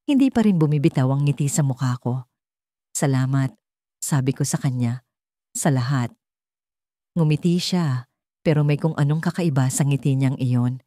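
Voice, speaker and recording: A woman's voice: extremely low pitch, normal speed, pauses often, dark tone, no echo, clean studio sound. A woman narrating a scripted first-person story as a storyteller.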